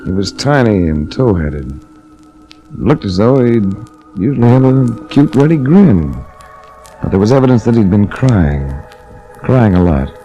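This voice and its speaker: A man's voice: low voice, warm tone, poor audio. An actor playing a dry, sardonic male insurance investigator who narrates a 1940s radio drama. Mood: somber. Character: wry hard-boiled male insurance investigator. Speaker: detective narrator. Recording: restored old radio broadcast.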